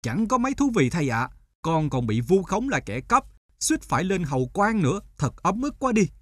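An actor playing an earnest, aggrieved young male student in an animated folk tale, protesting in a plaintive, emphatic way. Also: high-pitched, moderate pace, dry recording, clean studio sound.

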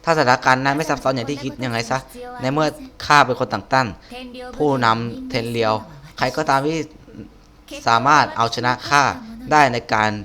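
An imperious female shogun read out by a man from a game story. The voice is bright and forceful, the words formal and stern, delivered a little haltingly. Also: medium pitch, fairly even intonation, natural voice. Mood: stern, challenging. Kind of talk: reading game dialogue aloud.

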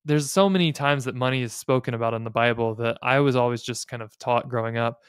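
An American man around his late thirties hosting a podcast, explaining things in a measured, thoughtful way with pauses between phrases, close on a studio mic. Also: mid-range voice, clean tone, dry recording. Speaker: podcast host. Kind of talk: explanatory podcast talk on personal finance and faith. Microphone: close studio mic on a boom arm.

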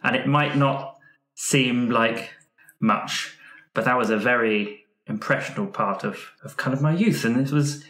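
A man with a middling pitch plays through a roleplaying scene. He talks earnestly, stumbling and restarting as he builds his point. The room adds a clear echo. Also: a bit bright. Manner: halting, earnest, repeats and restarts phrases. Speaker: tabletop roleplay player, performer. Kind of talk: improvised in-character speech in a roleplaying game. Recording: roomy, echoing room sound.

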